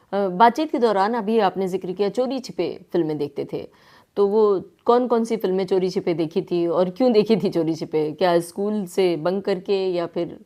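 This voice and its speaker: A woman's voice: mid-range voice, measured pace, mellow. A woman hosting a radio interview, asking questions in a polite, encouraging way. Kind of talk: radio interview with frequent listening acknowledgements.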